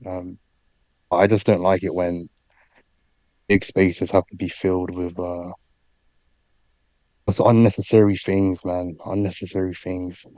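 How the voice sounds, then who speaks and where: A man who is a guest on a casual online chat, talking in a laid-back, halting way over a dull lo-fi mic. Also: deep voice, flat delivery, mellow, no room sound.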